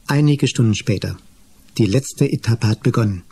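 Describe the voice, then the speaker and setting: An actor narrating a 1980s German children's radio play as a measured storyteller. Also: male voice, medium pitch, slightly rough. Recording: loud music behind voice.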